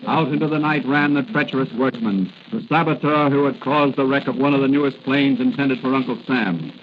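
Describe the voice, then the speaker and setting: A man's voice: mid-range voice, steady tone, a little fast, faint music behind, close to an everyday voice. A man acting as an ominous, theatrical narrator of a 1940s radio suspense serial. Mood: ominous, dramatic. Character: dramatic suspense serial announcer. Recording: muffled, noisy old radio recording.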